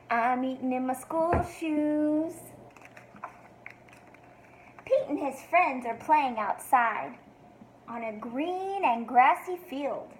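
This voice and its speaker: High-voiced woman reading aloud to children. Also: slight background noise, rough recording. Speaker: teacher reading a picture book aloud to children. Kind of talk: read-aloud for young children, asking questions.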